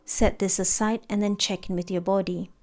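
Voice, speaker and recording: A woman narrating a guided meditation in a gentle, soothing, unhurried manner. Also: medium pitch, fairly even intonation. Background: background music.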